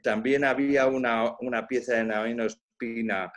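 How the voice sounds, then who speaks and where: A man in an audience on a video call, talking in a rambling way with halting restarts. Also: mid-range voice, steady tone, fairly bright tone. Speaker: audience member. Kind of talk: casual chat in a video call.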